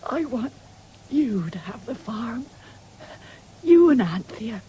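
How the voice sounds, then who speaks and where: Weak, fretful bedridden English farm wife. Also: female voice, fairly high pitch, sing-song, takes it slowly, dark tone, breathy edge, full character voice, rough recording. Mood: weak, insistent. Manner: insistent, repeating demands. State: weak and failing. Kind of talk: 1970s British radio drama acting. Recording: hissy old radio broadcast recording.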